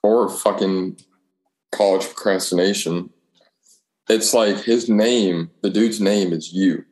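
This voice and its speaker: Low-voiced man in echoey room. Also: steady tone, takes it slowly, darkish tone. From Alabama, United States. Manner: profane, slangy, acts out dialogue. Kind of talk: casual chat, telling rambling anecdotes.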